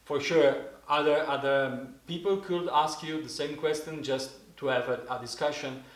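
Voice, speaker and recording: A man in his forties with an Italian accent, teaching in a casual spoken lesson, often pausing and restarting as he searches for words in English. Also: a little high, roomy sound. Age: 40s. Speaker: didgeridoo teacher.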